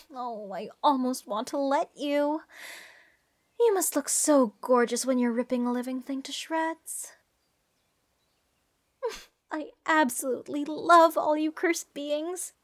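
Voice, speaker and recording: A giddy, slightly mad female spellcaster, played by a woman in a fantasy ASMR roleplay. Her voice sits high and she takes her time over the words. She rambles and gushes adoringly, with a sweet menace underneath. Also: slow pace, pauses often. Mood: gushing, fascinated. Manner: breathy gasps, gushing, rambling, sweetly menacing. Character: giddy, unhinged female mage who adores cursed monsters.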